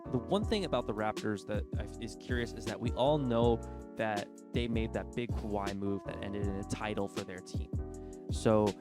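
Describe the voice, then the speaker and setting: A man's voice: on the low side, moderate pace, music in the background. A man with a cold and a stuffy, congested voice, rambling informally as he hosts a casual basketball chat. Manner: rambling and informal, thinking aloud. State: congested from a cold, sore throat. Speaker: basketball video host. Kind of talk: casual sports commentary.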